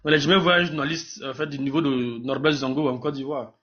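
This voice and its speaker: A man's voice: mid-range voice, fairly even intonation, fast talker. A man with a Burkinabé accent arguing off the cuff in a live political debate, emphatic and repetitive, often stumbling over his words. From Burkina Faso. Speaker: debate participant. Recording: dull lo-fi live stream audio.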